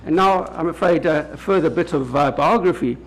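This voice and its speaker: A man from South Africa with a South African accent, giving a formal lecture to an audience of lawyers in a measured way, pausing often on "uh". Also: a little high, poor audio. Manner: measured, many hesitant "uh" fillers. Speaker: legal academic speaker. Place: lecture hall at a public event.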